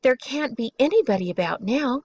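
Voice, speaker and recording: A woman's voice: high-pitched, expressive, moderate pace, a little mellow, no echo, lo-fi sound. A woman voicing a bold, curious young girl, a protective and reassuring big sister in a storybook. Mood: puzzled. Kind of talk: storybook read-aloud.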